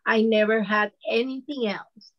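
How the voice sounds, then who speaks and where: A woman's voice: fairly high pitch, steady tone, measured pace, lo-fi sound. A woman in her fifties from Venezuela with a Venezuelan Spanish accent, speaking conversationally as a panelist about personal experience, often saying "you know". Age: 50s. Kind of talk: personal account on a discussion panel.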